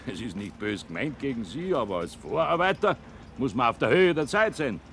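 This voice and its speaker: A man's voice: medium pitch, sing-song, normal speed, fairly bright tone, slight background noise. A man with a Bavarian accent playing a sly, self-assured building contractor who talks down to his men in a naturalistic drama. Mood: condescending. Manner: patronising, commanding. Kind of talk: naturalistic film drama dialogue. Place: outdoor building site.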